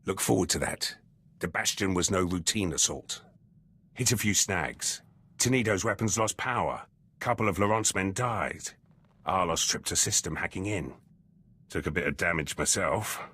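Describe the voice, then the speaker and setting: An actor voicing a gruff, battle-hardened soldier in a video game, terse and blunt, speaking in clipped sentences. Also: male voice, low voice, normal speed, sharp tone, heavily acted. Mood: proud, matter-of-fact. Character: gruff, battle-hardened male military commando, loyal and brash.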